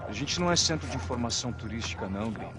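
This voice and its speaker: A man's voice: medium pitch, expressive, very fast, natural voice. An actor playing a dismissive, mocking local man from the slums with a Brazilian accent, in a game street scene. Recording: loud music and street sounds, off-mic.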